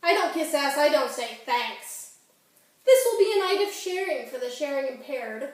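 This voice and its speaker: In a very echoey room, away from the mic, a woman plays an angry, wounded teenage girl. The voice is high, sarcastic and exasperated. The delivery is melodramatic stage monologue. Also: sing-song, moderate pace. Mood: defiant. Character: angry, wounded fifteen-year-old girl performance artist. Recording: echoey room, distant microphone.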